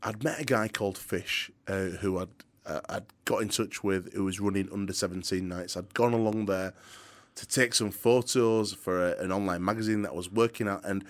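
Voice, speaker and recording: A middle-aged man with a softened Yorkshire accent, telling earnest stories of his years as a DJ and presenter in an interview. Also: low-pitched, moderate pace. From Yorkshire, England. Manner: energetic, earnest, some restarts. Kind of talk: interview answers, storytelling. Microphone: studio microphone.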